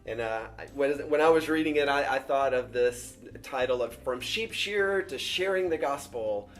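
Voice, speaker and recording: A man's voice: medium pitch, moderate pace, fairly bright tone, faint music behind. A man interviewing an author, friendly, introducing the book and asking questions with some stumbles and repeated words.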